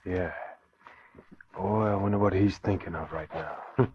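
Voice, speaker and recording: A man's voice: low voice, fairly even intonation, a little slow. An actor playing a curious, chummy fellow newsman in a 1940s radio drama. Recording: muffled, hissy old radio recording.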